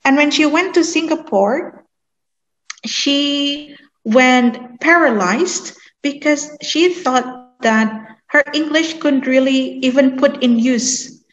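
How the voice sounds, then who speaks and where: A woman lecturer with an Indonesian accent, answering a student in a hesitant, deliberate, explanatory way and mixing in her native language. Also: high voice, dull audio. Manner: hesitant, deliberate, switching between English and Indonesian. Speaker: university lecturer.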